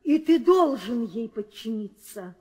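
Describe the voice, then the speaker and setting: A woman's voice: fairly high pitch, big pitch swings, unhurried, warm tone, slightly rough, exaggerated voice. An actress playing a haughty, commanding queen in an old theatrical audio drama, with declamatory delivery. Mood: imperious. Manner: imperious, declamatory. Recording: old radio play, hall reverb.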